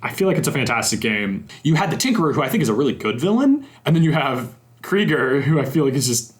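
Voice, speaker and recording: A young man hosting a casual podcast interview, enthusiastic and friendly, with many quick affirmations. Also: medium pitch, sing-song. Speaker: podcast host.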